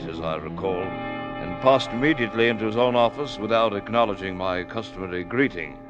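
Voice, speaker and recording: A man acts out a prim chief clerk in an old radio play. His low voice is formal, hesitant and deferential. The recording is dull, with music clearly behind him. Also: natural voice. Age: middle-aged. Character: prim, loyal, deferential middle-aged male chief clerk. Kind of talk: 1940s radio drama acting.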